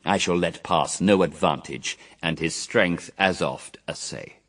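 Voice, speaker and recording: Cunning fallen angel, very deep voice, grand oratory, dramatic swells, set back from the mic, radio verse drama. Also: male voice, a little slow, fairly bright tone, far from the mic. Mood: calculating. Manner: grand, oratorical, scheming, sharply swelling and dropping.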